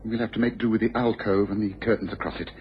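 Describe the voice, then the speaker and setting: An actor playing a shrewd, self-assured English gentleman detective in an old-time radio drama. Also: on the low side, steady tone, quick pace, close to an everyday voice. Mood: brisk, resolute. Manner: articulate, authoritative, self-assured. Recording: dull, hissy old radio recording.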